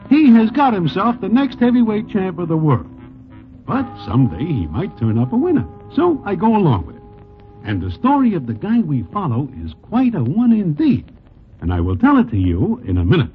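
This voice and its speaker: A man playing a wry, slangy New character who narrates gangster tales in a deadpan, wisecracking 1940s radio style. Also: sits high. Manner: deadpan, wisecracking, oddly formal slang in present tense. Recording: muffled vintage 1940s radio recording.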